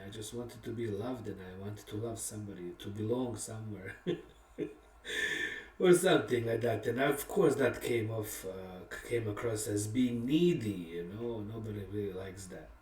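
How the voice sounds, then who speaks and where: A middle-aged man, a songwriter, casually telling the personal story behind one of his songs, reflective and candid, pausing often and laughing at himself. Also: fairly low pitch, a little mellow. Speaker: songwriter and musician. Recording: roomy sound from a distant mic.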